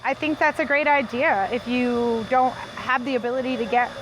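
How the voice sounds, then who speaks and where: A woman's voice: on the high side, fairly even intonation, normal speed, a bit bright, slight background noise, poor audio. Chatty upbeat woman from New Zealand. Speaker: farm owner and channel host. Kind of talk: casual Q&A, reading viewer comments.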